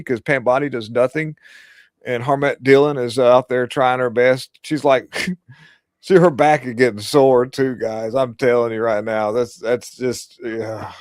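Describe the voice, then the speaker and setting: A man's voice: medium pitch, dry recording, studio quality. A man hosting a casual political livestream, talking bluntly and crudely with lots of emphasis. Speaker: livestream host.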